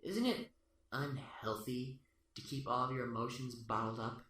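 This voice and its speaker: A man's voice: medium pitch, normal speed, natural voice. A man acting a smug, taunting serial killer who philosophizes without remorse in an amateur radio play. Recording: roomy, distant-mic sound under loud music.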